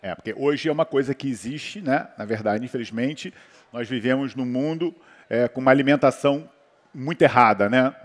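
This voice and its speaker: A man from Brazil with a Brazilian Portuguese accent, a physician talking casually on a panel, rambling with lots of fillers and restarts. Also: a little high. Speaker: physician guest speaker. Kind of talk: conversational talk on a panel. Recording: hall reverb.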